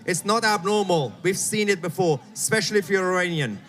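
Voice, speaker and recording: Man shouting a high-pitched rally speech. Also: expressive, a little fast. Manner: shouting to a crowd, forceful. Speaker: rally speaker. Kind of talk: impassioned outdoor rally speech. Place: outdoor street rally.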